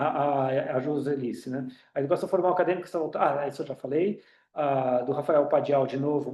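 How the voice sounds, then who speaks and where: Brazilian-accented man, hesitant webinar speaker, mellow slightly high voice, roomy echo. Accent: Brazilian Portuguese. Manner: hesitant, many fillers and restarts. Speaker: union director speaking on a webinar. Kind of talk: online talk with audience questions. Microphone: computer mic on a video call.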